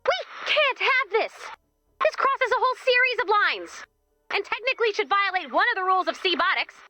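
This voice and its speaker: An actress playing an exasperated, peppy young team leader in a video game, with a wry, anxious, chatty manner. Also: female voice, very high-pitched, animated, moderate pace, sharp tone, no room sound, faint music behind, heavily acted. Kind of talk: scripted video game voice acting.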